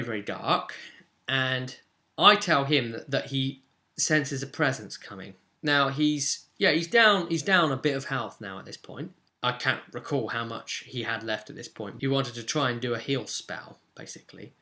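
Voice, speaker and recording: A man's voice: medium pitch, sharp tone. A man casually recounting a tabletop game session as game master, in a rambling, explanatory way with emphatic stresses. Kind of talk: casual unscripted game recap storytelling.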